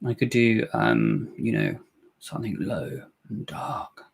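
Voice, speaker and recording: Scouse guy doing a live watch-along, gravelly voice, jokey and chatty with chat. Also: low-pitched, very animated, takes it slowly. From England. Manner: jokey, chatty, reacting to the film and reading viewer comments. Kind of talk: casual live-stream chat.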